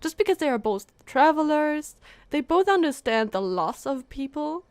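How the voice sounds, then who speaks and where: A woman's voice: high voice, normal speed, no room sound. A young woman streamer giving casual, gushing live commentary on a video game. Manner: excitable, gushing, giggly. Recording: game music behind the voice.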